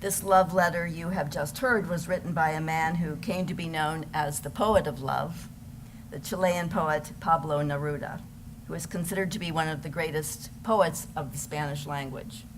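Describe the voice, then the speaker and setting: A woman's voice: low-pitched, steady tone, normal speed. A woman reading scripted narration aloud as a presenter in readers theater. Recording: live recording with clear background noise.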